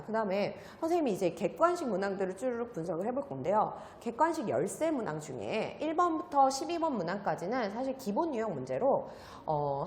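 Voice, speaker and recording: A woman's voice: on the high side, slight background noise. A female math academy teacher explaining an exam's results to students, using frequent "uh" fillers. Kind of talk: exam analysis lecture. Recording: echoey room with long reverb.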